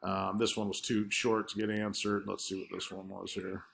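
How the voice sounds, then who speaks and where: Low-pitched man giving an informal presentation. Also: little pitch movement, moderate pace, vocal fry, fairly bright tone. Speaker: marketer presenting his ad campaign results. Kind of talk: informal screen-share presentation.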